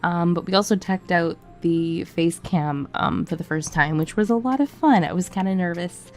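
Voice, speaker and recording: A woman with a lowish voice gives an informal, conversational gaming commentary. She sounds a bit sick and prone to cracking from a cough. Music plays behind her. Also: moderate pace. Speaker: gaming video creator. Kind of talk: casual voiceover commentary on a game build. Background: background music.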